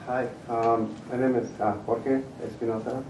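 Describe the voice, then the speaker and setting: A man's voice: mid-range voice, flat delivery, moderate pace, recorded from a distance, background noise. A man from Mexico City, speaking steadily and sincerely. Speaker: award recipient. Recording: echoey chamber audio from afar.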